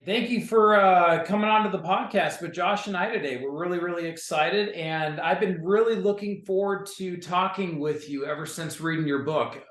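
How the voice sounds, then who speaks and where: A man's voice: high voice, normal speed, few pauses, fairly bright tone. A man co-hosting a podcast as an executive coach, friendly and eager as he opens the interview. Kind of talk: casual interview chat. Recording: roomy echo.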